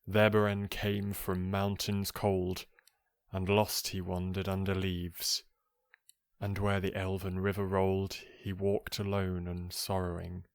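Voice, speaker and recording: A man reading poetry for relaxation in a calm, measured, soothing manner. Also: low-pitched, clear, resonant tone, no echo, clean studio sound. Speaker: poetry reader.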